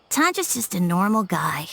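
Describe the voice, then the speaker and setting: A woman's voice: fairly low pitch, gravelly, background noise, exaggerated voice. A woman voicing a shy, polite little boy in a video game, speaking hesitantly. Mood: sullen. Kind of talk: video game character acting. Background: music.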